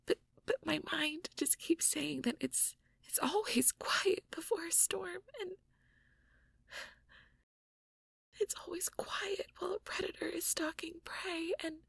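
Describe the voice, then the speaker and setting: A woman playing a shy, anxious young mage girlfriend in an intimate audio roleplay, hesitant and stammering in a breathy whisper. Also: extremely high pitch, fairly bright tone, no room sound, full character voice. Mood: scared, worried. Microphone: close microphone, dry.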